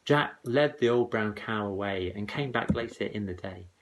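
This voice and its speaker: A man reading comic verse aloud to children as a dramatic, theatrical storyteller. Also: on the low side. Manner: dramatic, expressive, deliberate rhymed delivery.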